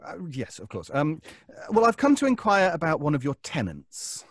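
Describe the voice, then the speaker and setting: A man's voice: a little high, huge pitch swings, slightly rough, no echo, clean studio sound, exaggerated voice. An actor playing an anxious, hesitant, fussy man who stammers, in old-time radio suspense style. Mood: polite, hesitant. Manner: stammering, flustered, halting.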